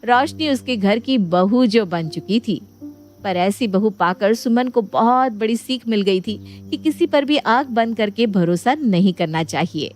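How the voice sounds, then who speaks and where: A woman's voice: medium pitch, expressive, a little slow, darkish tone. A woman storyteller reading scripted narration for a Hindi moral bedtime story. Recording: music bed behind the voice.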